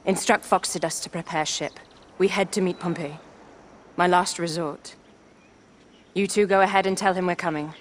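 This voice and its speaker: A woman's voice: fairly low pitch, fairly even intonation, moderate pace, pauses often, a little hiss or hum, lightly acted. An actress playing a proud, commanding young Egyptian queen in a cinematic game. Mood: agitated, defiant. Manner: commanding, regal.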